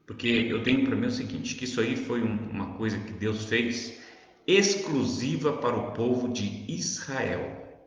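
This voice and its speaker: A man's voice: medium pitch, measured pace. A man with a São Paulo Brazilian accent teaching the Bible informally, conversational and didactic, often checking that the listener follows. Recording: very echoey reverberant room, dull sound.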